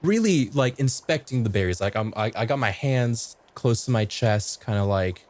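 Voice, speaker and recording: Mid-pitched man playing a tabletop game. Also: animated, moderate pace, a little mellow. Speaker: guest player, game master and performer. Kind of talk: casual improvised tabletop roleplay.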